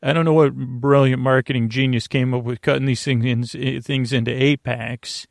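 Male sleep podcast host, mid-pitched with vocal fry, halting and meandering bedtime monologue. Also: steady tone, no room sound, studio quality. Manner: meandering, halting, repetitive, trailing off.

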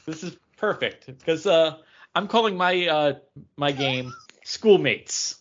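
A man hosting a casual trivia game among co-hosts, speaking in a halting way and stumbling over his words. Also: fairly high pitch, lively intonation, fairly bright tone, muffled recording. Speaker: podcast co-host running a trivia game. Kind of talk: casual banter, quiz hosting.

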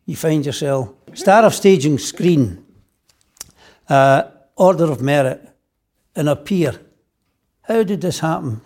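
Guy hosting an interview, warm mellow tone, bit higher pitched, recaps her life then asks. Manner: recaps the guest's life before asking. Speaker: host. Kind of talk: interview questions.

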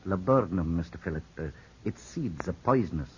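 An actor playing a deferential, plain-spoken rustic estate steward with folksy phrasing, in 1950s radio drama style on an old broadcast. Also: male voice, sits low, animated, moderate pace, husky, muffled recording, exaggerated voice. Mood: deferential, cautioning.

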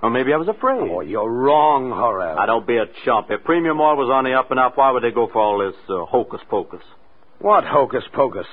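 An actor playing a shady, tense schemer in a 1940s radio crime drama. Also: male voice, mid-range voice, very animated, brisk. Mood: tense, arguing. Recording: tinny vintage radio recording.

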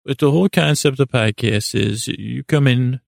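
A man with a gravelly voice hosting a sleep podcast in a rambling, hesitant, self-deprecating monologue that keeps drifting into tangents. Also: medium pitch, normal speed, darkish tone, no room sound, clean studio sound. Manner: meandering, hesitant, with self-deprecating asides and acted-out imaginary dialogue.

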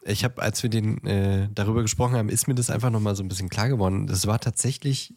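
A man's voice: fairly low pitch, fairly even intonation, fast, darkish tone, no echo. A man co-hosting a podcast, chatting casually about films and often searching for words. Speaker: podcast co-host.

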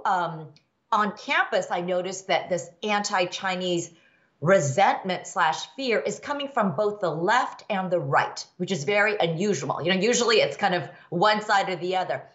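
A woman's voice: fairly low pitch, a bit bright, dull audio. A woman in her fifties from the American Midwest, answering interview questions candidly with many fillers and restarts. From United States, Midwest. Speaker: guest expert, law professor.

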